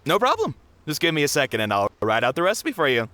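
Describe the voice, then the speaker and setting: An actress playing a hearty, generous food-stall cook in an anime-style game, talking in a breezy, informal way. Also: female voice, sits low, wildly swooping pitch, fast, very noisy. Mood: easygoing, generous. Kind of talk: English-dubbed anime-style game acting.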